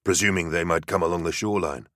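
A man's voice: deep voice, quick pace, fairly bright tone, dry recording, studio quality. Man voicing a wry older warrior medic. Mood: dry.

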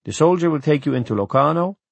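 A man's voice: on the high side, animated, fast talker, creaky, slightly rough, no room sound, voice pushed up, heavily acted. Man doing a stiff formal lieutenant. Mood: polite. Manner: clipped, formal, officious.

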